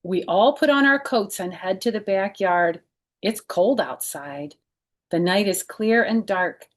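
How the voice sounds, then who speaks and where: A woman's voice: mid-range voice, expressive, unhurried. A woman hosting a children's storytime, reading a picture book aloud expressively and adding chatty, informal explanations.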